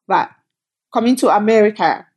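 A middle-aged woman from Cameroon, a company founder telling her story as a guest in an interview, lively and quick in bursts. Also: medium pitch. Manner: animated, storytelling, quick bursts, laughs often. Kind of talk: conversational interview.

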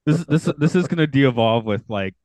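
A man's voice: mid-range voice, expressive, moderate pace, darkish tone, no echo. A man with a Delaware-Philadelphia accent co-hosting a movie podcast, dry and joking. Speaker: podcast co-host. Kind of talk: casual chat.

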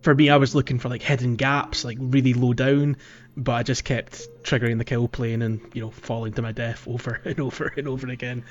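A man's voice: mid-range voice, little pitch movement, a little fast, hardly any pauses, dull audio. A man hosting a casual gaming podcast, talking in a hesitant, self-deprecating way. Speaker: podcast host.

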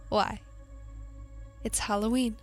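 A woman with a fairly high voice addresses radio listeners in a scripted sign-off. She is playful and theatrical, and music plays behind her. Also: steady tone, takes it slowly. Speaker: radio drama director and star signing off.

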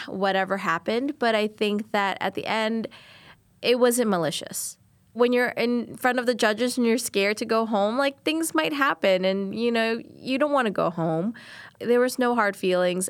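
A woman's voice: medium pitch, no room sound. A young woman from New Orleans, a chef, answering interview questions candidly and laughing easily. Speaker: chef, cooking competition contestant.

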